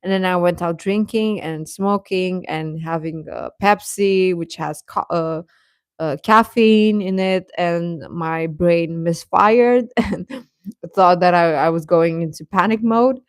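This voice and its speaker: A woman's voice: on the low side, takes it slowly, clean tone, no echo, clean studio sound. A woman chatting casually as a livestream host, talking directly to viewers and often repeating her phrases.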